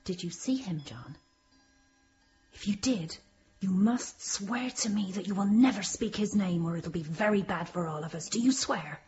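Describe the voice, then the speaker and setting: An actress playing a secretive, controlling sister in a radio drama, speaking in a hushed, deliberate way. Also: female voice, mid-range voice, lo-fi sound, slight background noise, natural voice. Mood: secretive, threatening. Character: secretive, commanding sister guarding a dark secret.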